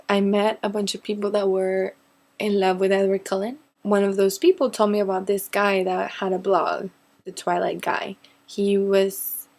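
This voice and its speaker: A woman's voice: medium pitch, vocal fry, a little mellow. Young Mexican woman chatting casually. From northeast Mexico. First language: Spanish. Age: 20s. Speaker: vlogger answering viewer questions. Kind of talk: casual chat to camera.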